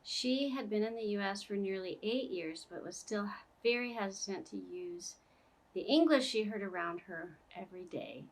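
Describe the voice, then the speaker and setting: A woman, an ESL teacher, telling a story about a student in a steady, scripted way. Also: medium pitch, moderate pace, poor audio.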